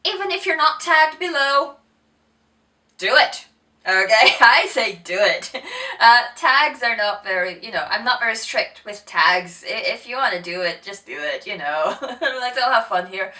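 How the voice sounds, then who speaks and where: A woman's voice: on the high side, animated, a little slow, pushed and bright, distant mic. A woman with an accent mixing Brazilian, American, English and Northern Irish, chatting casually about books to camera with lots of fillers and little laughs. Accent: mixed Brazilian, American, English and Northern Irish. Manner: chatty, giggly, many fillers and self-corrections. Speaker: book vlogger.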